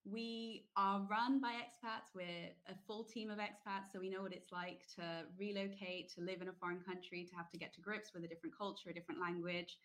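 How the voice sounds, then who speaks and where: A woman's voice: medium pitch, fairly even intonation, fairly quick, hardly any pauses, fairly bright tone. A woman from the Netherlands, an editor, talking on a webinar in a friendly, listing manner. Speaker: editor, panelist. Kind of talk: casual webinar talk.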